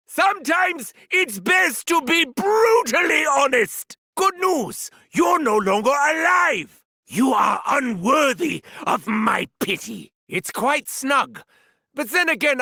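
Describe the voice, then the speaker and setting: A manic, sadistic mad doctor with a thick German accent for a video game. His voice sits high and swings wildly, with a gravelly, sharp edge. He savors each word slowly, gloating and bursting into shouted cackles, all captured dry and close in the studio. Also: dry recording, heavily acted. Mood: sardonic. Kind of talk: video game character barks.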